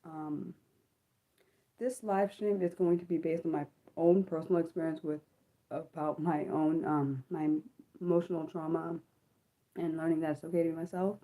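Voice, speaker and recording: A woman in her thirties hosting a personal livestream from home. She speaks haltingly, with many ums and apologetic self-corrections, and her voice sounds slightly sick. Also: low-pitched, steady tone, warm tone, poor audio. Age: 30s. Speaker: livestream host. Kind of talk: personal reflective livestream talk.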